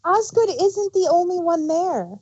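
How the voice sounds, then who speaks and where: A woman's voice: very high-pitched, expressive, a little slow, darkish tone, lo-fi sound. A woman co-hosting a fan review show, casually explaining details and filling in references in an informative way.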